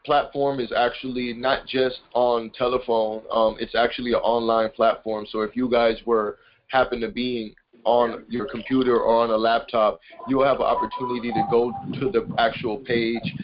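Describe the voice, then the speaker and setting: A man's voice: medium pitch, little pitch movement, normal speed. A man hosting an informal group call, explaining things in a rambling way and often repeating his words. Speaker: conference call host, business and personal growth consultant. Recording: muffled conference call with loud noise, clicks.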